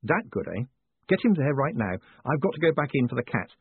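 A man voicing a quick-witted, evasive former con man who talks in a brisk, clipped, urgent way. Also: mid-range voice, very animated, breathy edge, dry recording, full character voice. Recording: dry, tinny phone-like sound.

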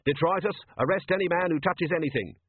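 A man's voice: on the high side, quick pace, narrow, tinny audio, a little hiss or hum, pitched above the performer's own voice, close to an everyday voice. A man voicing a dry, stubborn officer who gives orders in a terse, wry, authoritative way, in a storybook reading. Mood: commanding.

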